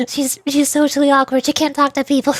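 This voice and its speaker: A woman's voice: high voice, flat delivery, a little fast, dry recording. A woman streamer chatting casually as she reads game dialogue aloud. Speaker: streamer voice acting a game's dialogue. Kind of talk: casual livestream commentary and dramatic read-aloud. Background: music.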